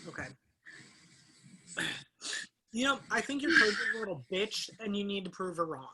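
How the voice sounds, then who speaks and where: A woman's voice: mid-range voice, very animated, normal speed, heavy background noise, lightly acted. A woman in a play reading playing a foul-mouthed young American student, blunt and sarcastic with a teasing streak. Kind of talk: play reading, naturalistic comic banter.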